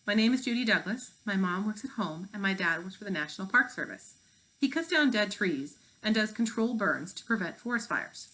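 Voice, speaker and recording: A woman's voice: mid-range voice, lively intonation, brisk, bright, forceful tone, low-quality recording. Woman reading as a prim, indignant schoolgirl. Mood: indignant, aggrieved. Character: indignant, prim overachieving fifth-grade girl.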